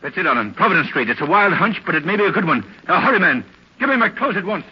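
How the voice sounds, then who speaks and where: A take-charge gentleman sleuth in an old radio thriller, brisk and imperious. His mid-pitched voice moves animatedly, on a muffled recording with faint hiss. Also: lively intonation, breathy edge. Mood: impatient, urgent. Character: commanding, impatient gentleman detective hero.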